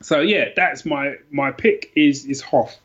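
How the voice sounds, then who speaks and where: A man's voice: a little high, slow pace, a bit bright, lo-fi sound. A middle-aged man chatting casually as a podcast guest, enthusiastic and rambling, often repeating himself. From London, England. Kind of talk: casual chat, telling personal stories.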